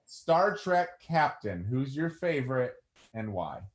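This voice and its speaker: A man's voice: mid-range voice, very animated, slow pace. A man co-hosting a webcast in casual, conversational chat. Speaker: webcast co-host.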